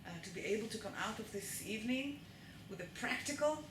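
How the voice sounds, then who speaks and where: A woman's voice: a little high, fast talker. A woman with an Israeli accent giving a live lecture to an audience and often checking that they follow along. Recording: echoey room with noise.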